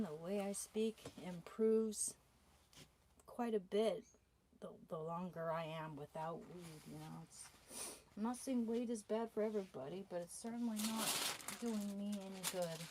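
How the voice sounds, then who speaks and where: Slow-talking woman streaming casually. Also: mid-range voice, darkish tone, a little hiss or hum, low-quality recording. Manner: rambling, chatty, talks to her dog. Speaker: livestreamer. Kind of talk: casual livestream chat.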